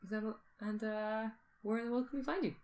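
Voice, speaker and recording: A woman's voice: fairly high pitch, steady tone, fast, a little mellow. A woman co-hosting a podcast in casual, bantering chat, with a playful, giggly, teasing way of talking. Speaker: podcast co-host.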